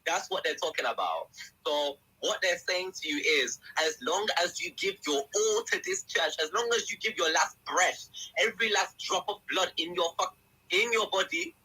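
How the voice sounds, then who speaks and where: A young man from England tells his story as a guest in a casual podcast chat, animated and acting out what others said. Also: high voice, moderate pace, slightly rough, rough recording. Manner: animated storyteller who acts out quotes.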